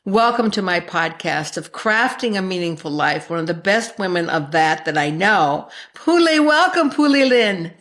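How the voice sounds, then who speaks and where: A woman's voice: on the low side, very animated, normal speed, hardly any pauses, fairly bright tone. A woman hosting a podcast in a conversational interview style, speaking with an effusive, emphatic and affectionate manner. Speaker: podcast host.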